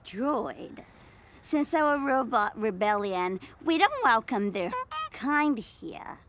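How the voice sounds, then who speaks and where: A woman playing a haughty, easily outraged alien in a comic sci-fi audio play, with theatrical, drawn-out delivery. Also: a little high, sing-song, a bit bright, full character voice. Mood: haughty, suspicious.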